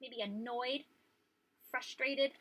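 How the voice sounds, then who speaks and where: A woman teacher reading a story aloud to young children, animated and asking them questions. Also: high voice, slow, slight background noise, rough recording. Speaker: pre-kindergarten teacher.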